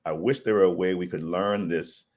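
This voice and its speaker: A middle-aged man raised in Liberia, speaking as an interview guest in a thoughtful, measured way with frequent pauses. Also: sits low, fairly even intonation, a little mellow. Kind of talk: reflective conversational storytelling.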